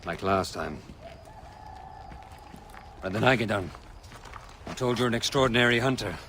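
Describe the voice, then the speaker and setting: An actor playing a resolute, weary colonial rebel leader in a video game cutscene. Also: male voice, lowish voice, normal speed, fairly bright tone, a little hiss or hum, close to an everyday voice. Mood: resolute, earnest.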